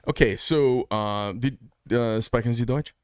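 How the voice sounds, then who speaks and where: A man with an average-pitched voice leads a relaxed conversation, prompting his guest with short, friendly questions and quick remarks. His voice is close to the mic and dry. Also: smooth, no echo. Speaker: interview host. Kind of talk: casual interview questions.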